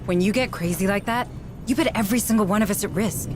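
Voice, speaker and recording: An actress playing a stern, weary administrator in command of a spaceship in a cinematic video game, direct and controlled. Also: female voice, mid-range voice, fast talker, lightly acted. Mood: confronting. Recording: music bed behind dialogue.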